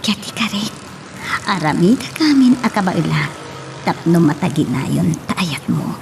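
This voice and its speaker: A woman's voice: lowish voice, sing-song, a little slow, gravelly, noisy background, full character voice. A woman playing a doting older woman in love with a younger man, tender and coquettish, in a radio romance drama. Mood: tender, loving. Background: music.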